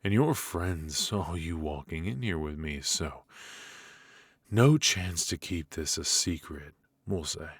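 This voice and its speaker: A man playing a suave, menacing mafia boss in a noir ASMR roleplay, measured and mock-courteous, with a husky voice. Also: rumbling low, lively intonation, no echo, full character voice. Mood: smug, menacing. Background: faint music.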